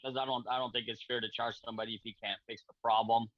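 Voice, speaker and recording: Portuguese-born man, halting video-call talk. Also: mid-range voice, level tone, no echo. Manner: halting, repeats words, friendly. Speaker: guest, car repair shop owner. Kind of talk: casual video-call chat. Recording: dull lo-fi video-call audio.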